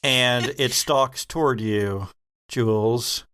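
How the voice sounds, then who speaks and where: A man narrating a tabletop horror game as game master, telling it deliberately with pauses. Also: medium pitch, a bit bright, clean tone.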